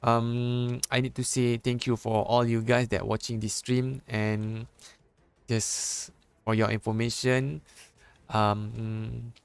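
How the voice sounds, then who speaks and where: A Malaysian-accented man, Malay his first language, calls a mobile shooter match live. His English comes haltingly, with restarts and pauses, at a middling pitch, and game gunfire sounds behind him. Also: little pitch movement, vocal fry, clean tone, no room sound. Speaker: esports caster.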